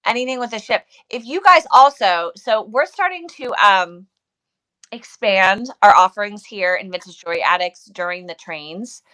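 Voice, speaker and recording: A woman's voice: medium pitch, lively intonation, moderate pace, bright, forceful tone, low-quality recording. A middle-aged woman co-hosting a casual, unscripted livestream, chatty and jokey, often repeating her phrases. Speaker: co-host of a vintage seller livestream.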